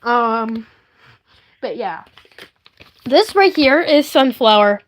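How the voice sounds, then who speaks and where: High-voiced woman chatting playfully on a livestream. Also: expressive, slow. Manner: rambling, playful, silly. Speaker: livestream host. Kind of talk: casual chatty livestream, showing handmade puppets.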